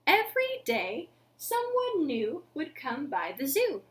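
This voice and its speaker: A young woman with a high voice hosts storytime for small children. She speaks slowly and deliberately, with an animated storytelling delivery. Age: young adult. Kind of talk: children's storytelling and action songs. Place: living room at home.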